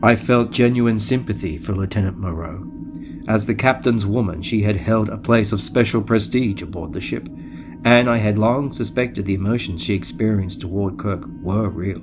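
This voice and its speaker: Man playing alien starship officer, low voice, deadpan, formal, calculating, audio drama over music. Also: close to an everyday voice. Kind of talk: audio drama narration and dialogue.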